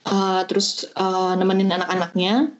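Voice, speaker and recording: A woman's voice: mid-range voice, completely flat delivery, normal speed, a little hiss or hum. Chatty young woman on a dull lo-fi call. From Bandung, Indonesia. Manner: chatty, explains step by step with many hesitations. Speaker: podcast guest, au pair. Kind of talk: casual chat.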